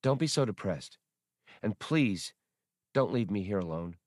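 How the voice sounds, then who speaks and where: Playful, quick-witted female companion in a space audiobook, teasing and spirited, read by a man, dry sound. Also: on the low side, expressive, darkish tone, no room sound. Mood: coaxing.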